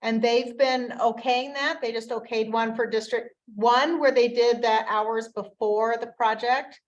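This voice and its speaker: A woman with a somewhat high voice, explaining a city process to a meeting in a clear, informative way. She speaks as a staff member laying out the rules. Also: fairly even intonation, a little slow, muffled recording. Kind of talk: community meeting discussion.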